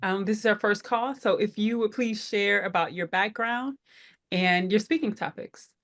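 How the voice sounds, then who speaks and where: A woman's voice: medium pitch, moderate pace, a bit bright. A woman hosting an online group call, welcoming people in an upbeat way with frequent fillers. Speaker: head of operations, meeting host.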